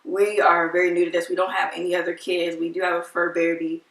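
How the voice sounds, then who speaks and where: A woman's voice: fairly low pitch, little pitch movement, fast. Chatty twenties woman vlogging, laughs a lot, echoey room, mic a bit far. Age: 20s. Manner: chatty, giggly, teasing. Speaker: vlog co-host. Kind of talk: casual couple chat. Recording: roomy, mic set back.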